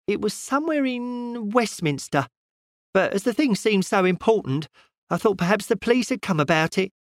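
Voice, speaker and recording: A talkative middle-aged shopwoman, fussy and gossipy, her voice climbing high and swinging wide, as a man puts her on. The reading sounds close and dry, with no room around it. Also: animated, normal speed, no echo. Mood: chatty.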